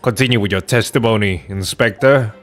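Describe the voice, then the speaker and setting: A haughty prosecutor from a courtroom game , played by a man. His voice sits low, and he speaks with formal, sardonic condescension. Faint music plays behind him. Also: brisk, fairly bright tone, natural voice. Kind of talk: dramatic video game dub.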